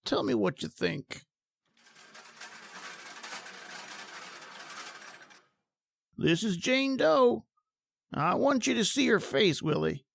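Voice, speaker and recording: Woman playing a creaky, high-voiced morgue attendant. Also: big pitch swings, measured pace, no room sound, clean studio sound, full character voice. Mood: grave, insistent. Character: knowing morgue attendant, wry and quietly insistent. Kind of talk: 1940s radio drama acting.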